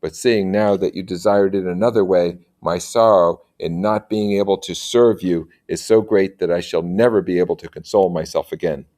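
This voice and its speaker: A man reads the part of an impoverished medieval gentleman in a storybook, devoted and sorrowful in love. His speech is earnest, courtly and formal, in a rather low voice. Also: steady tone, normal speed, hardly any pauses, lightly acted.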